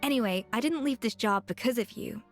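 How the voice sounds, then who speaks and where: A woman's voice: a little high, a little fast, natural voice. An actress voicing a composed young woman chef who speaks bluntly and with exasperation. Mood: weary. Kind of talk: video game voice acting. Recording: game audio with music behind.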